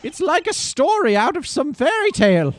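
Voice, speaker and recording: A man voicing a suave young male rock-star prosecutor with a smooth, theatrical delivery. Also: extremely high pitch, very animated, normal speed, pitched above the performer's own voice. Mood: smug.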